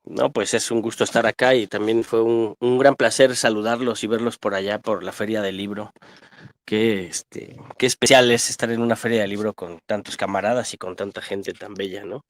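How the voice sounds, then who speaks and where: A man's voice: on the low side, steady tone, normal speed, no room sound. A man with a Mexican accent giving spontaneous political commentary as a guest analyst, emphatic and militant, often adding tag questions.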